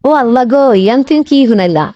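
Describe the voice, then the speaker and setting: A woman's voice: on the high side, big pitch swings, quick pace, dry recording, lo-fi sound. A woman playing a dramatic, blaming wife and shop boss in a comic cartoon, wailing and scolding in a regional Bangladeshi Bengali dialect. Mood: panicked, wailing. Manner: wailing, scolding, repetitive complaining.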